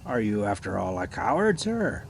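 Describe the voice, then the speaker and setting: A man's voice: lowish voice, big pitch swings, moderate pace, creaky. A man voicing a stern, pitiless older uncle from a storybook, commanding and formal, over background noise. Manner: commanding, old-fashioned formal speech.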